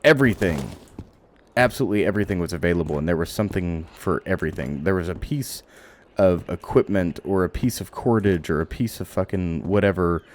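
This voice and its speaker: A man giving casual gameplay commentary, thinking aloud in a halting, rambling way and swearing now and then. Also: sits low, a little mellow. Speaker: game streamer. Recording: audible background noise, clicks.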